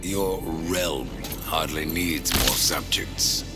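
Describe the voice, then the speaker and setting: A man playing a grim, sardonic horseman in a video game, curt and commanding with a gravelly voice. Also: a bass voice, extremely slow, heavily acted. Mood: dry, sardonic. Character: grim male horseman Death, sardonic and unyielding. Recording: loud music under the voice.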